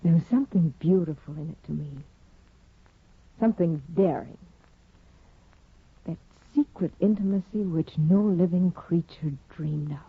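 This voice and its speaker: An actress playing a proud, discontented young aristocratic wife in a poised, theatrical 1950s radio drama manner. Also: female voice, on the low side, measured pace, long pauses, husky, full character voice. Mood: wistful, intimate. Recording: muffled, phone-like old radio broadcast, roomy.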